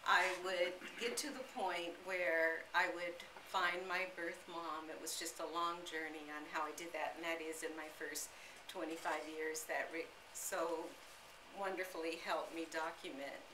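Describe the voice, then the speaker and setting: An American woman aged sixty giving an earnest, deliberate autobiographical talk to a gathering as a guest speaker. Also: low voice, level tone. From United States. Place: indoor living-room-style salon. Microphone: camera a few metres away. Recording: roomy, noisy recording from a distance.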